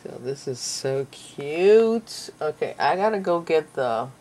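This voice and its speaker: A woman with a croaky voice, feeling like she's getting sick, musing aloud and gushing over her craft project at a table indoors. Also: deep voice, lively intonation, slow, warm tone. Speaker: craft channel host. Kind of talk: casual crafting commentary.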